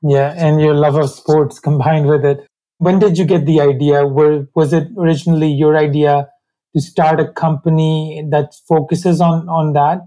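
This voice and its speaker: Warm-voiced host introducing a guest. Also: male voice, on the high side, little pitch movement, rough recording. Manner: measured, formal, slightly uneven grammar. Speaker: podcast host. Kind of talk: scripted intro and interview questions.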